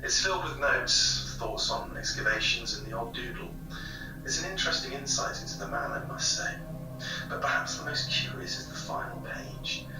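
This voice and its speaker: An actor playing a curious, methodical investigator in an audio drama, recording notes in a measured, reflective way. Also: male voice, medium pitch, lightly acted. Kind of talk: audio drama, narrated log. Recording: echoey distant tape with music over it.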